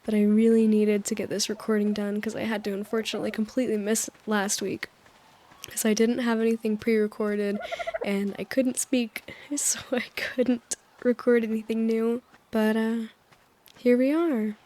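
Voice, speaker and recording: A woman hosting a sleep-aid video, rambling gently over gameplay, her voice slightly hoarse as it recovers. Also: a little high, flat delivery, normal speed, slight background noise. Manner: gentle, soothing, meandering with small laughs. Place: voice-over recorded apart from the game footage.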